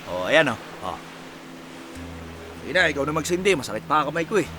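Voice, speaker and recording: A man's voice: on the high side, very animated, brisk, over music. A man playing a sly, gleeful Filipino dynamite fisherman in a radio drama, colloquial and smug. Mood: smug, scheming. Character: sly, gleeful male dynamite fisherman, bossing his cousin.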